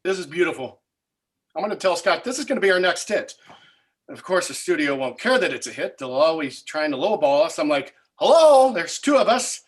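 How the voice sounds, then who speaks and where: Smug, animated male screenwriter acted out. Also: a little high, brisk. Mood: self-satisfied. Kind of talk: comedy script table read.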